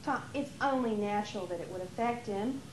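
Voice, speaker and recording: A woman's voice: mid-range voice, brisk, natural voice. A woman playing a scheming, impatient wife who coaxes and persuades in an amateur stage play. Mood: insistent, pleading. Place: theater during a rehearsal. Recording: echoey, noisy 1980s theater rehearsal tape.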